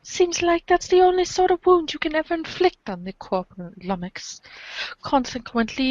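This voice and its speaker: A woman's voice: a little high, dry recording. Woman reading aloud, dry lo-fi sound. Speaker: podcast cast reader. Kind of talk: theatrical read-aloud narration.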